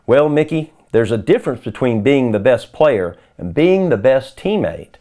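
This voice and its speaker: Man reading as a kindly, animated grandfather. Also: medium pitch, moderate pace, close to an everyday voice. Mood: wise. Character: kindly old grandfather, patient and wise. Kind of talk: children's storybook character voice.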